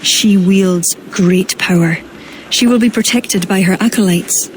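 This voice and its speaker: An actress plays a female druid in a dramatic game cutscene. She speaks formally and earnestly, with sorrowful gravity and a mid-pitched voice. Noticeable ambient noise sits behind her. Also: natural voice, poor audio. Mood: resolute. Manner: measured, formal, solemn phrasing.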